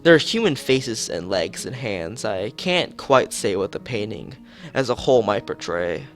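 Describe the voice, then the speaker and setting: Man, low gravelly voice, lively range, game streamer narrating aloud, dry close sound. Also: measured pace, soft background music. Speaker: streamer playing a visual novel. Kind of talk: reading game text aloud with asides.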